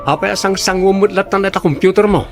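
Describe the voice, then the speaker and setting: A man's voice: high-pitched, fairly even intonation, fairly quick, over music. A man acting a teasing, nosy male coworker in a radio drama.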